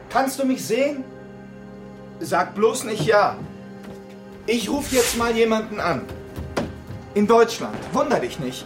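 An actor playing a restless aid worker in a radio drama, with a jumpy, halting way of talking. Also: male voice, high voice, exaggerated voice.

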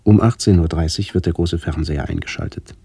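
Very deep, gravelly, reflective man. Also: wildly swooping pitch, a little fast, warm tone, full character voice. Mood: pensive. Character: reflective man visiting his ageing family. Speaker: narrator. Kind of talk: literary radio play narration.

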